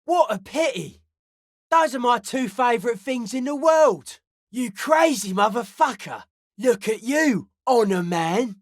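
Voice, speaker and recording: A man's voice: extremely high pitch, animated, unhurried, dry recording, clean studio sound, full character voice. A man playing an intense young hardcore raver in a video game, with a slangy, preachy, grandiose way of talking. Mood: cocky, persuasive. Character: intense young male hardcore raver, drug-loving, anti-authority philosopher. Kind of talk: video game character dialogue.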